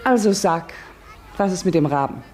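An actress playing a village schoolteacher in a German radio drama, articulate and measured, stern yet gentle. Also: female voice, fairly low pitch, animated, a faint crowd, slight background noise, close to an everyday voice. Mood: probing, gentle. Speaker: actor in a radio play. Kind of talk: German radio drama, narration and classroom dialogue.

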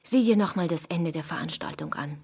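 Husky, cool, calculating confidante. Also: female voice, fairly low pitch, fairly quick, darkish tone. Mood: cool, reassuring. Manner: controlled, persuasive. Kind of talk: intimate radio drama dialogue.

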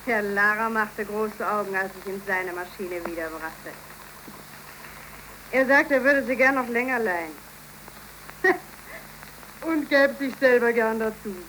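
An actress playing a cheerful, devoted young seamstress in love, in a 1930s German radio play, with an eager, expressive delivery. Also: female voice, a little high, a little slow, long pauses. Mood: pleased. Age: young adult. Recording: noisy 1931 radio recording.

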